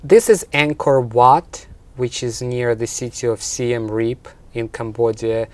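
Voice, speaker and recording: A man's voice: medium pitch, steady tone, a little slow, no echo. A man from Russia with a Russian accent, answering interview questions in an explanatory way, as a college instructor. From Russia and Ukraine.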